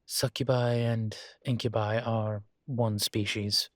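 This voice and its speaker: A composed male college professor, played by a male voice actor in an intimate audio roleplay. His voice sits fairly low and moves at a very slow, measured pace, formal and dryly wry. The sound is close and very dry, with no room to it. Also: level tone, no room sound. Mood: wry, reassuring. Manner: measured, formal, hesitant politeness. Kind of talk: intimate scripted one-sided roleplay dialogue.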